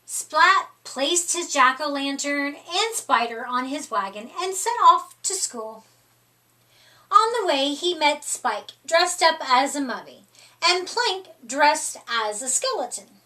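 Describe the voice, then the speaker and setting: A woman with a high, bright voice reads a children's picture book aloud. She takes her time and narrates expressively for storytime. The microphone sits a little way off. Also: very animated, takes it slowly, recorded from a distance. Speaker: storytime reader.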